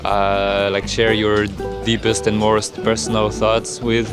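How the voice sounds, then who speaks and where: A man with a lowish voice answers slowly and hesitantly, pausing often. Music plays behind him. He never hurries. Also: completely flat delivery. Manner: hesitant, halting. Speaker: passer-by.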